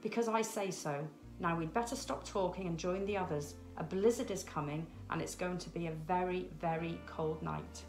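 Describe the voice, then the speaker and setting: A woman's voice: fairly low pitch, moderate pace, hardly any pauses, over music, lightly acted. A caring penguin dad from a storybook, read by a woman, patient and reassuring but firm. The voice sounds in an echoey room. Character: Claudius, caring grown-up male penguin father.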